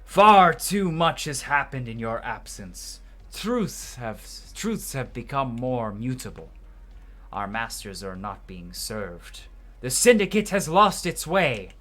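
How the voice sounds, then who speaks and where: Game holy man played by a man: solemn, fanatical, declamatory, big pitch swings, music behind. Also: very animated, slow, bright, forceful tone, full character voice. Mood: fearful devotion. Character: zealous, doom-laden holy man in a video game.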